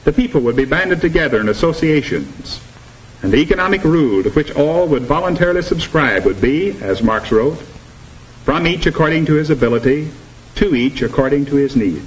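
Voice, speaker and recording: An actor with an American accent playing an earnest, didactic instructor in a 1950s educational propaganda film. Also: male voice, a little high, lively intonation, close to an everyday voice. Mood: stern, didactic. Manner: didactic, measured. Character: earnest male anti-communist instructor lecturing students. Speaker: instructor presenting a lesson. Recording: noisy old 1950s film soundtrack.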